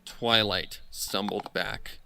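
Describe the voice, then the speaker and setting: Low-voiced man narrating horror over music. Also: fairly even intonation, slow, creaky, pushed and bright. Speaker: audiobook narrator. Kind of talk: dramatic horror story narration.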